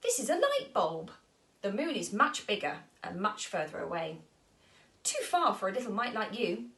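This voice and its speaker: A woman's voice: mid-range voice, big pitch swings, normal speed, a bit bright, roomy sound. A woman voicing a huge, dismissive know-it-all bluebottle fly in a children's storybook, talking in a belittling way.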